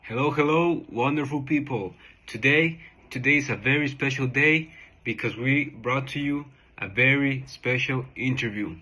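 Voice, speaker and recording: Bright, punchy male show host. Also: medium pitch, moderate pace, dull audio. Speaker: show host introducing an interview. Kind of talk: scripted presenter introduction.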